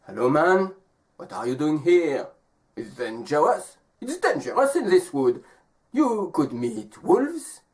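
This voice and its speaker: A storyteller voicing a hungry, plaintive wolf in a folk tale, with pleading, drawn-out emphasis. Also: mid-range voice, sing-song, a little mellow. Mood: warning. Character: hungry male wolf, plaintive but talkative.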